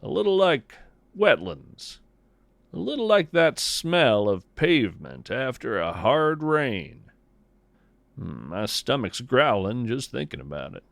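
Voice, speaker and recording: Unhurried American man, dry close narration. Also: medium pitch, sing-song, vocal fry, fairly bright tone, dry recording. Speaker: podcast host and narrator. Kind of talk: scripted whimsical first-person narration.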